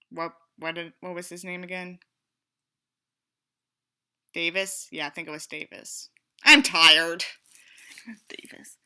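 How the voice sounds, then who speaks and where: A woman's voice: lowish voice, bright, forceful tone. A woman reading a book aloud as its narrator and commentator, theatrical and sardonic, with a sarcastic, sweary streak. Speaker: audiobook reader and commentator.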